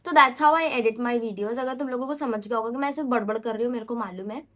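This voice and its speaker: A young woman with a high voice vlogs in a loose, unscripted way. She rambles and chats and often corrects herself mid-sentence. She mixes English phrases freely into her speech. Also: brisk, a bit bright. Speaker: vlogger. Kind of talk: casual unscripted vlog talk.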